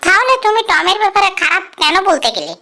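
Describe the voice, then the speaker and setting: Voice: extremely high pitch, big pitch swings, quick pace, sharp tone, exaggerated voice. A performer with a woman-like voice plays a whiny, stubborn little mouse child in a cartoon dub, pleading in a childlike way. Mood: indignant.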